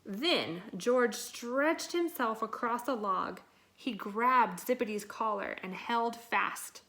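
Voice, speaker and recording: A woman reading a children's picture book aloud in an expressive, dramatic and deliberate way. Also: fairly high pitch. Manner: dramatic, deliberate delivery with acted sound words. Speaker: storybook reader.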